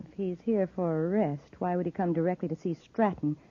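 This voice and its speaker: An actress playing a cold, commanding, scornful criminal boss in a 1950s radio serial. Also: female voice, on the low side, a little fast, natural voice. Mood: wary, commanding. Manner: commanding, crisp orders, scornful. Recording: muffled old 1950s radio recording.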